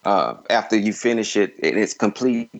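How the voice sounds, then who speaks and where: Male interview guest, lowish voice, casual and halting, muffled lo-fi recording. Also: expressive. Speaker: filmmaker guest.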